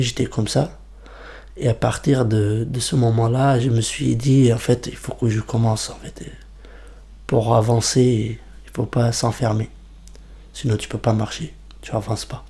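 A young man speaking careful French as a second language, his first tongue being Kurmanji. His voice is dark and mellow, and he stops often to restart a word or find the next one. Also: mid-range voice. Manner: hesitant, repeats words while searching for them. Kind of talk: documentary interview testimony.

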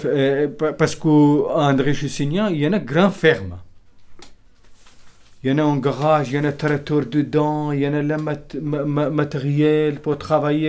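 A man's voice: on the high side, a little mellow. A man telling his story in a documentary interview, in broken French with simple repeated phrases.

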